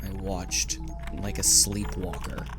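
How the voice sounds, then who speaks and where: A man's voice: low voice, little pitch movement, takes it slowly. Man acting an anxious clerk, heavy music behind. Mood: haunted narration. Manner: earnest, theatrical, emotive. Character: anxious, poetic male clerk condemned by a dystopian court. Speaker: actor. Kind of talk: audio drama acting, with reflective first-person narration.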